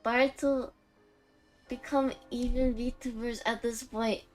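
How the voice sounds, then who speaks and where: Female game streamer, casual and jokey, musing out loud at a slow pace, light music in the background. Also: fairly high pitch, little pitch movement, rough recording. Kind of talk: casual live game commentary.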